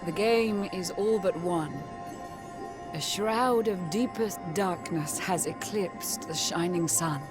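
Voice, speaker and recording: An actress playing a solemn storyteller in a fantasy video game, narrating in a grave, poetic, measured way. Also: female voice, lowish voice. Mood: ominous. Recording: music clearly under the voice.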